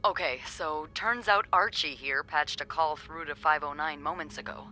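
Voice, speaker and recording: An actress playing a chatty, wry young woman working as a hotel receptionist in a video game, with playful, expressive delivery. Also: low voice, moderate pace, soft background music. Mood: intrigued, helpful. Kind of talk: video game dialogue acting.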